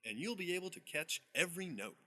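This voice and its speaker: Bright, animated male promo narrator. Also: sits high, fast. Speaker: narrator of a product demo track. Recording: tinny playback through small speaker, faint noise.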